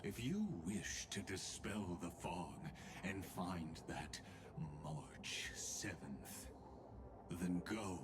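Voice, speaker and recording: A man's voice: low-pitched, very noisy. A man playing an ancient, theatrical, sinister schemer in a video game, with whispery, grandiose delivery. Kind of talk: video game dramatic voice acting. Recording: music behind the voice.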